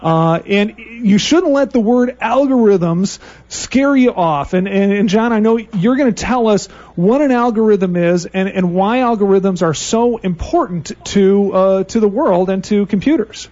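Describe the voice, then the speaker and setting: A man's voice: sits high, measured pace, a little mellow. A male radio host who talks effusively and rambles, restarting his sentences often as he introduces and praises his guest. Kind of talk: casual interview hosting. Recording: muffled radio broadcast audio.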